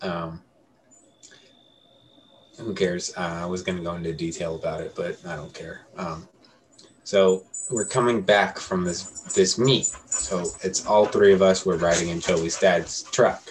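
A man's voice: low voice, fairly even intonation, a little slow. Young man chatting over background noise. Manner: slangy, conversational. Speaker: podcast co-host. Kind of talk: casual storytelling chat among friends. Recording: clear background noise and ambience.

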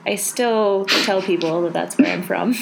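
A woman's voice: medium pitch, measured pace, gravelly, slight background noise, low-quality recording. A woman from South Dakota co-hosting an arts podcast, chatting casually with lots of fillers and easy laughs. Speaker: podcast co-host. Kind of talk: casual chat.

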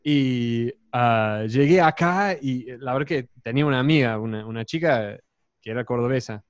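A man from Texas, a guest on a casual chat show, who talks haltingly and searches for his words. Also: mid-range voice, no echo, studio quality. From Texas, United States.

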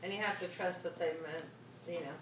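A woman's voice: fairly low pitch, fairly even intonation, brisk. A woman in a Bible study group giving her frank view in casual discussion. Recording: echoey room, heard from a distance with background noise.

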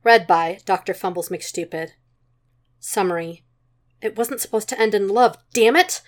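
A woman's voice: medium pitch, a little slow. A woman reading a story aloud as scripted narration, in the manner of an amateur audiobook. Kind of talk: scripted narration of fan fiction.

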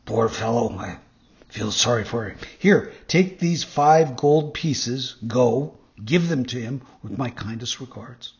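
A man voicing a big, gruff puppet master softened by pity in a storybook reading. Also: medium pitch, lively intonation, takes it slowly, dull audio, full character voice. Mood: pitying, kindly.

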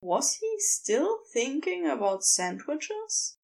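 A woman narrates a piece of fiction in a high voice. Her delivery is very animated in pitch and unhurried, measured like careful audiobook storytelling. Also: creaky.

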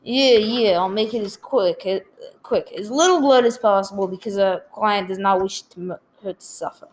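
A woman's voice: mid-range voice, moderate pace, roomy sound, slight background noise, natural voice. A woman playing a shifty, businesslike male hired killer in a radio play. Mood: shifty, reassuring.

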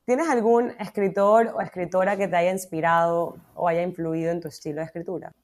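Woman, podcast host, relaxed, questioning. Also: fairly low pitch, brisk. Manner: relaxed, asks questions and agrees. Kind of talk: casual interview chat.

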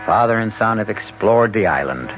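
An actor as the host narrating a 1970s radio mystery, a measured, deliberate and foreboding storyteller. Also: male voice, fairly low pitch, animated, close to an everyday voice. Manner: deliberate, dramatic. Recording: dull radio recording under loud music.

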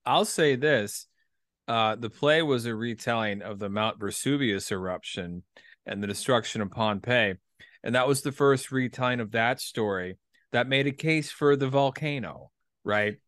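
A man's voice: mid-range voice, normal speed, a bit bright, no echo, studio quality. A man hosting a podcast in casual chat, rambling hesitantly with a self-deprecating streak. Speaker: podcast host.

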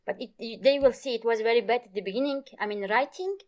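A woman, a Kurmanji speaker from Turkey, talking as an interview guest in halting English, often pausing to search for words. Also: a little high, a little mellow. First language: Kurmanji Kurdish. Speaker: guest, Kurdish language teacher. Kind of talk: casual interview conversation.

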